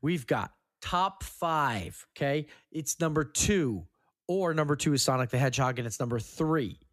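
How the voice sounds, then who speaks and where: A man's voice: fairly high pitch, lively intonation, normal speed, no echo, studio quality. A man hosting a film podcast, talking in a casual, conversational way with some offhand swearing. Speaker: podcast host.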